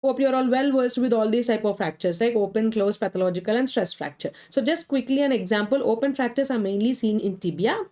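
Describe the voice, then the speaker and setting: A woman with an Indian English accent leads a live online medical lecture. She has a cold, yet her voice sits a touch high. She keeps a brisk pace and breaks off now and then to greet viewers and answer their comments. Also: mellow. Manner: brisk, reads chat names and answers viewers.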